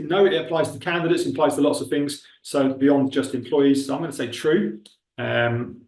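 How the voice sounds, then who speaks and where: A man with a Milton Keynes accent talks through a software demo in a loose, chatty way, often breaking off mid-sentence. His voice sits at a middle pitch. The sound is roomy and a little distant from the microphone. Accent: Milton Keynes English. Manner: chatty, self-interrupting, thinks aloud while clicking. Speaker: recruitment trainer. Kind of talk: casual screen-share tutorial. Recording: roomy echo, speaker away from the mic.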